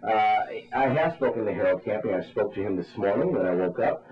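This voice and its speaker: A man's voice: lowish voice, expressive, a little fast. A man speaks as a radio ministry spokesman in a muffled, lo-fi phone interview. He preaches with fervour and insistence, circling back over his phrases. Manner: insistent, repetitive, religiously fervent.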